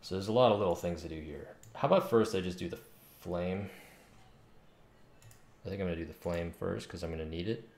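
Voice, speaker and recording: A man with a gravelly, very low voice talks casually to himself on a livestream. He mutters through his thoughts in a small, echoey home room. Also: fairly quick, long pauses, darkish tone. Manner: muttering, thinking aloud. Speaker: game developer streaming. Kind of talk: casual think-aloud livestream while coding. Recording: roomy, faint noise, keyboard clicks.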